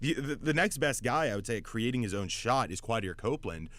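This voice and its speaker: A man's voice: mid-range voice, expressive, fairly quick, a bit bright, no room sound. A man hosting sports talk radio, giving opinionated analysis emphatically and often repeating words as he gets going. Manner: emphatic, stammering repetitions. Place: radio studio.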